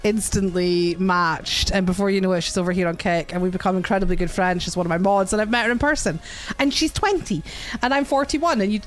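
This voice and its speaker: Chatty woman in her forties hosting a casual chat, faint music behind. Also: mid-range voice. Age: 40s. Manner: chatty, laughs often, swears casually. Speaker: podcast host and streamer.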